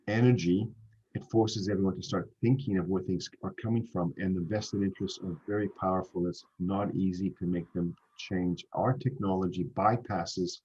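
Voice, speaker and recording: Deep-voiced Canadian man on a dull call. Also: a little mellow. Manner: halting, many restarts and fillers. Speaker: company founder presenting. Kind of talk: seminar Q&A answers. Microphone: video call. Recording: dull lo-fi video call audio.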